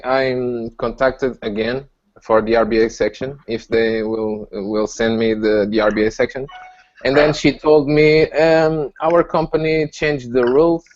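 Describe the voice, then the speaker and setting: A man with a Portuguese accent talks in halting English, often pausing to find his words and laughing at himself. His middle-pitched voice comes through a dull, lo-fi video call line. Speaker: guest reviewer. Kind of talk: casual chat on a video call.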